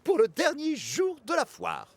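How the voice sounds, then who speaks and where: Le comte, un aristocrate agité. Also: male voice, very high voice, huge pitch swings, unhurried, full character voice. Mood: fussy. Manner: theatrical, bossy. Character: fussy aristocratic count, excitable.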